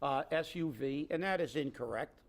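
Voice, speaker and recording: Man, high voice, co-host, opinionated political chat, sarcastic, indignant, rambling. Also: moderate pace. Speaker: co-host of a local political commentary show.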